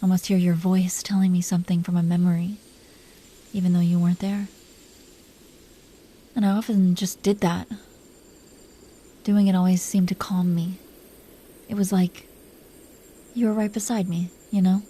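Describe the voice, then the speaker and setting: A woman voicing a protective, smitten dragon queen in an intimate romantic audio roleplay, speaking softly and breathily with an affectionate, teasing manner, often near a whisper. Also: fairly low pitch, flat delivery, close to an everyday voice. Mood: tender. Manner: affectionate, teasing, slow confiding delivery.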